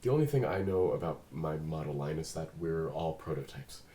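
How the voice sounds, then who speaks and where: Guy acting as a calm android detective, really low voice, formal and measured, bit of room noise. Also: echoey room. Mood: composed, earnest. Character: calm, articulate male android detective, loyal and proud. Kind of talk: table read of a fan film script. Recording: noisy room recording.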